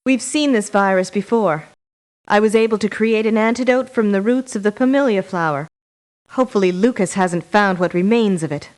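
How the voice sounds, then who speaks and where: An actress playing an earnest, polite woman scientist in a game, giving formal, measured briefings. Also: medium pitch, darkish tone, lightly acted. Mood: concerned, serious. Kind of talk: scripted game voice acting, briefing.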